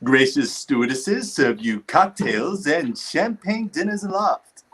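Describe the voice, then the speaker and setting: A young man playing a gushing, polished airline pitchman in a vintage radio commercial. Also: a bit bright, husky, heavily acted. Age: young adult. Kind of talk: 1930s-style radio advertisement read.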